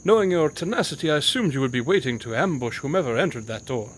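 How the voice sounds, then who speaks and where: An actor playing a shrewd, composed detective in an audio drama, with formal, precise, theatrical diction. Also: male voice, medium pitch, animated, quick pace, a little hiss or hum, close to an everyday voice. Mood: composed, explaining. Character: shrewd, composed male detective, commanding and quick-witted.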